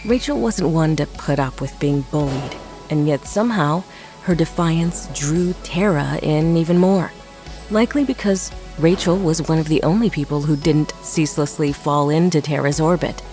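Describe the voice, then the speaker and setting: A woman's voice: low voice, normal speed, warm tone. A woman giving a scripted narration of a horror audio drama. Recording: music and crowd noise behind narration. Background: music, crowd sounds.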